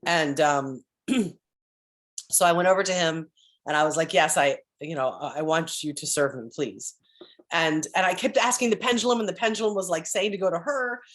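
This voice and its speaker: A woman with a deep voice telling a rambling story on a video call from home, with many restarts and throat clearing. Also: moderate pace. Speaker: spiritual group member sharing updates. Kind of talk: casual chat on a group call. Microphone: computer on a video call.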